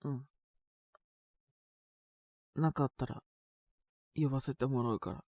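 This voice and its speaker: A man playing a sick, stubborn but shy teenage boy in an intimate Japanese audio drama, speaking in a halting, casual, congested mumble. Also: mid-range voice, a little mellow, no echo, clean studio sound. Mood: bashful, reassuring. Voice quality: congested cold-sick voice with coughs.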